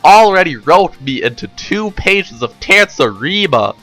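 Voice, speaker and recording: A man acts out an indignant, foul-mouthed pony in a story reading. The voice is blunt and emphatic, bright and forceful, with pitch that jumps around as he defies everyone. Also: big pitch swings, a little slow, soft background music, full character voice. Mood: defiant, angry. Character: defiant, foul-mouthed male pony, irritated by pompous arbiters.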